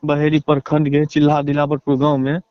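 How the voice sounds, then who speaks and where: A man with a Bihari accent giving a halting, deferential account in a phone interview, leaning on repeated filler phrases. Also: on the high side, completely flat delivery, clear, resonant tone, dry recording. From Bihar, India. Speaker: interviewee, relative seeking justice. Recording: dull lo-fi phone call.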